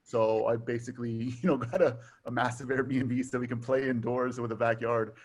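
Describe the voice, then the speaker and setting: A middle-aged man from Taiwan, raised in Southern California, chatting as a guest in a relaxed way with frequent fillers. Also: medium pitch, normal speed. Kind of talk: casual conversation.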